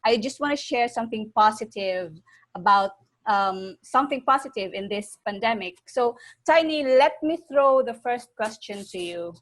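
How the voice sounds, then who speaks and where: Woman, Filipino accent, fairly high pitch, interview host, conversational delivery, video call. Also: lively intonation, moderate pace, a bit bright. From Philippines.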